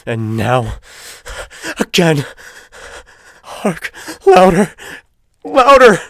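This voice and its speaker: A man voicing a guilt-crazed murderer in a theatrical, deliberate dramatic reading of a gothic horror story. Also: low voice, dry recording, clean studio sound. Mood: frantic dread. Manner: theatrical, deliberate phrasing with drawn-out words. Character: guilt-crazed murderer, feverishly confident, unraveling into frantic confession.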